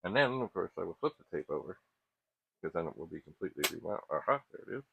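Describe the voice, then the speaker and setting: A middle-aged man giving casual, unscripted tech commentary as he works, thinking aloud in a halting, self-questioning way. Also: deep voice, sing-song, gravelly, very noisy. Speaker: host of a vintage computer repair channel. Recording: muffled, phone-like narrow band.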